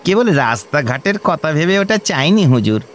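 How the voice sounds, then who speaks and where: An actor playing a meek, middle-aged grain trader and moneylender in a Bengali radio play, deferential and hesitant, with a West Bengal accent. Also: male voice, fairly high pitch, sing-song, moderate pace, faint music behind. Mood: pleading, deferential.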